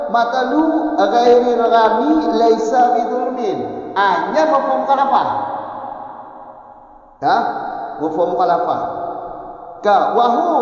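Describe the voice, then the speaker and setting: A man with an Acehnese accent gives a step-by-step lecture on a religious text in a very high voice, often stopping to check that the class follows. He is heard from a big echoing hall on a dull, lo-fi recording. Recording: echoey hall, dull lo-fi audio.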